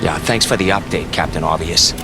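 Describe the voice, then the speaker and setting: A man's voice: deep voice, brisk. A man voicing a wisecracking, cocky mercenary hero in a video game, with a sarcastic, flippant manner. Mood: concerned, dry. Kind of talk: video game cutscene acting. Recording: music behind the voice.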